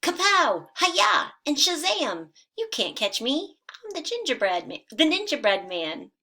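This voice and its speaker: A cocky little gingerbread man, put on by a woman reading a storybook aloud, chanting his taunts in a high, loud sing-song. The voice sits well above her own and rings out bright and forceful. He is boastful and bouncy from start to finish. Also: very animated, slow, heavily acted. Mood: taunting.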